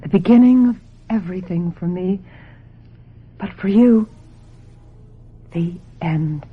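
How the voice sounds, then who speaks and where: Slow, wistful, intense young woman. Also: on the low side, a little hiss or hum. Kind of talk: radio drama acting and dramatic narration. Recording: muffled old radio drama recording.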